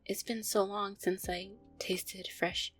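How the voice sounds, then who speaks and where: A woman playing a gentle, anxious, affectionate vampire girlfriend in an intimate roleplay audio, speaking softly, tenderly and hesitantly. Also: mid-range voice, little pitch movement, slow, close to an everyday voice. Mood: relaxed, reassuring. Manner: soft, tender, hesitant, sighing delivery.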